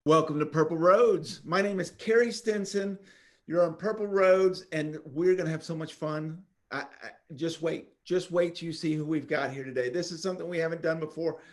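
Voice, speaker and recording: A man's voice: fairly high pitch, normal speed, faint music behind. A man hosting a podcast interview, eager and enthusiastic as he welcomes his guest. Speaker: podcast host.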